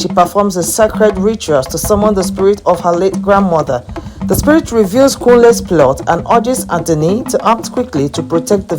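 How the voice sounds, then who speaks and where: Lively woman narrating a folktale over music. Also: mid-range voice. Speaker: storyteller, narrator.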